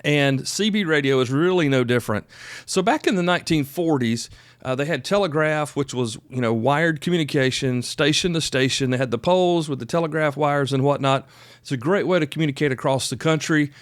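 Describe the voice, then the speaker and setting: A man's voice: a little high, fairly quick, no room sound. An American man of about fifty hosting a podcast solo, talking casually in a folksy, rambling, reassuring way. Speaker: podcast host.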